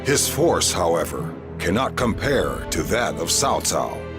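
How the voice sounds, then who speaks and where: A man's voice: sits low, sing-song, measured pace, exaggerated voice. A man giving grave scripted narration in a video game. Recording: game audio with loud music.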